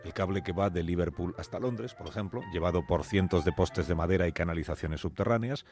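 A man's voice: sits low, fairly quick, a little mellow. A man presenting live morning radio, telling a story with rhetorical questions and emphatic repetitions. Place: radio broadcast. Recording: music bed behind voice.

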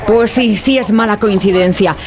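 An actor playing a worried acquaintance in a dramatized radio advertisement. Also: male voice, high-pitched, normal speed, background noise. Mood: concerned.

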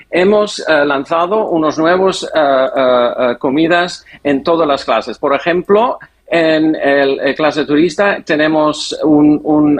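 Foreign guy being interviewed in non-native spanish, bit high voice, halting, keeps hunting for words. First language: not Spanish. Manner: halting, searching for words, repeats words. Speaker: interview guest, airline executive. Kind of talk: interview answers.

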